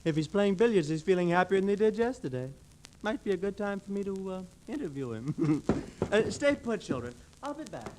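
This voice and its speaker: A man's voice: high voice, very animated, normal speed, darkish tone. An actor playing a breezy, cocky young man, a rich boss's son, jaunty and boyish in a 1940s radio drama. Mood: casual, sly. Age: young adult. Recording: noisy old 1940s radio recording.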